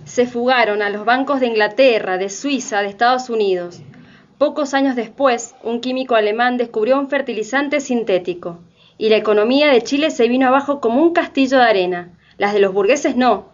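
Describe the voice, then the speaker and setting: A female narrator reads a scripted radio documentary drama. Her voice is a little high for a woman, and the recording is slightly lo-fi. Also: brisk.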